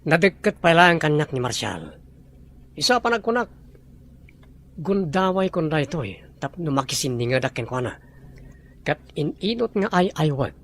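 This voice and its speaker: A man's voice: a little high, sing-song, moderate pace, pauses often, a bit bright, heavily acted. A man playing a scheming, irritable and insistent boss in an Ilocano audio drama, with faint noise behind.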